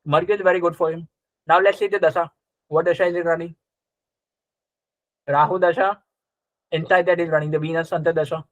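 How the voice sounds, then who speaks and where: A man with a fairly high voice teaches a live class in a conversational way. He explains step by step and often checks with short questions, recorded close and dry. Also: level tone, brisk, pauses often, dry recording, lo-fi sound. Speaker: astrology teacher. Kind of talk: live interactive class, explaining and quizzing students.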